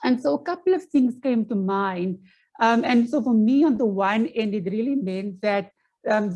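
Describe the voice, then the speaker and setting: South African woman, Afrikaans first language, presenting on a video call from home, earnest and measured, restarts often. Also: mid-range voice, darkish tone. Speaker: panel presenter. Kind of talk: online conference presentation.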